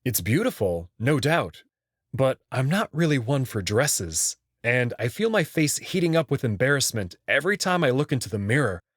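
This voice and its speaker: A man's voice: mid-range voice, big pitch swings, moderate pace, no echo, studio quality. A man narrating a scripted story expressively as the host of a visual novel reading channel.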